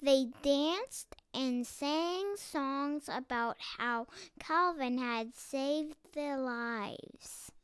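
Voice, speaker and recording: High-voiced child reading a storybook slowly. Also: fairly bright tone, no echo. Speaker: storybook reader. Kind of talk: expressive read-aloud of a children's picture book.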